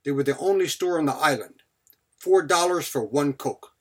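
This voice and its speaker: A man's voice: fairly high pitch, moderate pace, a bit bright. A man giving a scripted English vocabulary lesson as a teacher, speaking in short, clipped phrases followed by example sentences.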